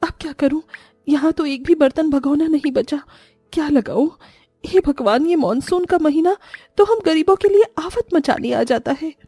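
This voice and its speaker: A woman's voice: high-pitched, moderate pace, warm tone, no echo, soft background music. A woman voicing a poor, long-suffering, devoted mother in a cartoon story, sorrowful and plaintive. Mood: worried, despairing.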